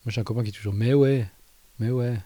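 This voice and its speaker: Dark-voiced man with a light Valais accent. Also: medium pitch, fairly even intonation, fast, no room sound. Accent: light Valais Swiss French. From Valais, Switzerland. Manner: thoughtful, hesitant, many fillers. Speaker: interviewee. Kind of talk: casual street interview.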